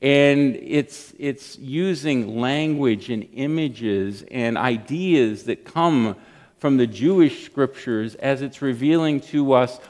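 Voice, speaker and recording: A middle-aged man preaching a sermon from a church lectern, reading scripture and praying with earnest emphasis and pauses. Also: medium pitch, a little mellow. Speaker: pastor. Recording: long church hall reverb.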